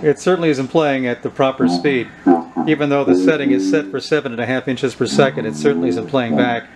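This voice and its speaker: A man hosting a casual hands-on demonstration, explaining things in an unhurried, informal way. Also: medium pitch, over a noisy crowd, rough recording. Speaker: host of a vintage electronics video. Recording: clear steady background noise.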